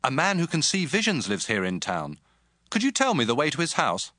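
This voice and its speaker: An anxious young man of humble temper, acted out in a Bible audio drama, his voice fairly high and bright. He is earnest and questioning, with lively pitch. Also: brisk, full character voice. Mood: puzzled, humble.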